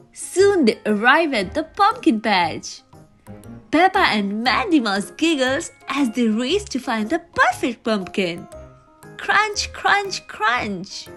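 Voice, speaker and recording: A woman reading a children's storybook aloud in a deliberate, expressive, sing-song way. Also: high-pitched. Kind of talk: read-aloud storybook narration for young children.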